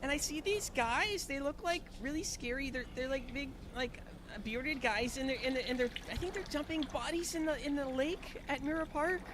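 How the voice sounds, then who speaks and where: Man putting on a high-pitched panicked woman caller. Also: normal speed, background noise. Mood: frightened. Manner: stammering, hesitant, breathless repetitions. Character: panicked, frightened young woman calling emergency line. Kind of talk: improvised game roleplay.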